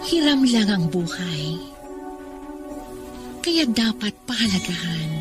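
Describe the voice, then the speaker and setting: A woman's voice: mid-range voice, very animated, slow. A woman giving a dramatic poetic recitation for a radio drama opening. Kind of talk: slow dramatic poetry recitation. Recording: prominent music under the voice. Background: music.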